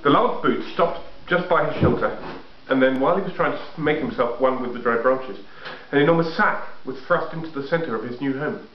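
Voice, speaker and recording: A man's voice: medium pitch, fairly quick, hardly any pauses, slight background noise. A man reading a children's story aloud. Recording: echoey room, muffled home recording.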